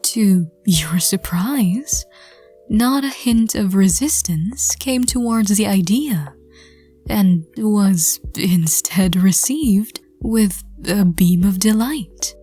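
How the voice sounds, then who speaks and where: A woman reading scripted second-person story narration in a soft, gentle, hushed voice. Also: mid-range voice, animated, slow, dry recording, soft background music. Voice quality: soft, breathy. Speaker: narrator and audio roleplay reader.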